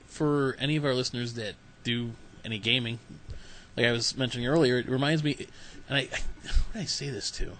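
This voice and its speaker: A man's voice: medium pitch, a little fast, fairly bright tone, a little hiss or hum, rough recording. A man hosting a podcast interview, chatting casually and rambling, often repeating words. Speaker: podcast host.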